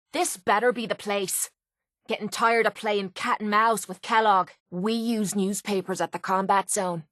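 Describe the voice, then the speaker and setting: A woman's voice: medium pitch, fairly bright tone, no echo, clean studio sound, natural voice. A woman playing a cynical, defiant young female brawler in a video game, with an Irish accent and a blunt, sardonic, slangy delivery. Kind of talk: video game character dialogue.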